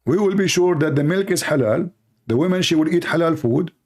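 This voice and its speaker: A man from the Middle East, a native Arabic speaker with an Arabic accent, hosting an informal live call-in show in a sarcastic, mocking manner with halting phrasing. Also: fairly high pitch, darkish tone. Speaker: host, religious apologist. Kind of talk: live call-in broadcast, rambling informal commentary.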